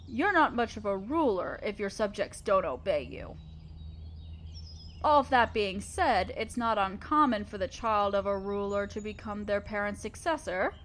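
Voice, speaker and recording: A woman playing a gentle, motherly spider queen in a scripted audio roleplay, speaking tenderly and patiently to the listener. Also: medium pitch, lightly acted. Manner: tender, patient, softly explaining.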